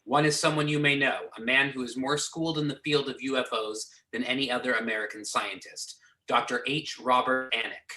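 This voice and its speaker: A man's voice: mid-range voice, steady tone, a little fast, sharp tone, lightly acted. A man playing a businesslike agency boss briefing agents, reading in an amateur readers theater over a video call. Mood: matter-of-fact. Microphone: video or conference call. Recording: echoey room over video conference.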